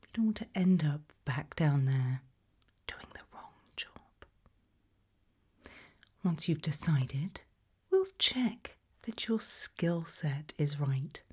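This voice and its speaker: A British woman doing an ASMR roleplay in a breathy whisper, putting soft questions to the listener with deliberate pauses. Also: sits low, animated. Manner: slow, deliberate, with long pauses.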